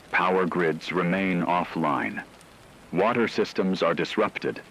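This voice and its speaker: A man's voice: deep voice, a bit bright, a little hiss or hum, rough recording. A man reading a scripted emergency radio broadcast as a newscaster, in a grave, solemn manner. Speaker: radio newscaster in a horror story.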